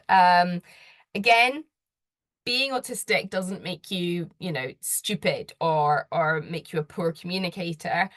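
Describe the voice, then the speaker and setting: A woman's voice: on the low side, no echo, studio quality. A woman presenting slides, talking earnestly in a conversational way and putting questions straight to the listener. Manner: gets louder when enthusiastic. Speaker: presenter giving advice.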